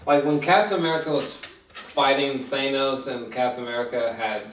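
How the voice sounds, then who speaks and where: A man chatting casually about a movie in an excitable, halting, profanity-laced way, repeating himself as he goes. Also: medium pitch, a little hiss or hum. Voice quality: slangy, profane speech. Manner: excitable, halting, repetitive storytelling. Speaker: movie reviewer. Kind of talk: casual unscripted movie chat. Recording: roomy echo, distant mic.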